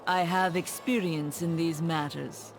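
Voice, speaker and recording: An actress playing a stern, composed necromancer in a video game, speaking formally and with measured gravity. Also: female voice, lowish voice, fairly even intonation. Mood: solemn, resolute. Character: stern composed female necromancer come to aid the lands. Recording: dramatic game music behind.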